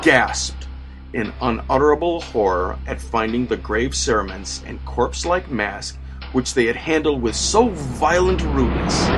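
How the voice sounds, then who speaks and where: A man giving a dramatic, measured and formal scripted narration of a gothic horror story. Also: medium pitch. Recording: music bed under narration. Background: background music.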